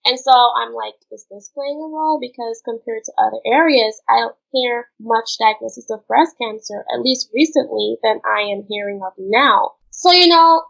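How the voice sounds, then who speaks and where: A woman's voice: a little high, moderate pace, gravelly, few pauses, fairly bright tone, low-quality recording. A woman from Guyana speaking as an interview guest, explaining things in an informal, conversational way. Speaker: interview guest, cancer researcher.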